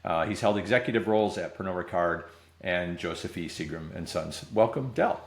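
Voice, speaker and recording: A man's voice: low-pitched, fairly quick. A middle-aged man hosting a podcast, interviewing a guest in a casual, conversational way. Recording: roomy, echoing sound.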